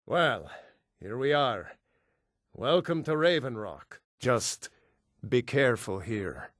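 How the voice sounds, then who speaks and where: Grumbling, weary seafarer, dryly recorded. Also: male voice, on the high side, fairly bright tone, no room sound, clean studio sound. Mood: weary, wary. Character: weary, wary male seafarer hauling cargo.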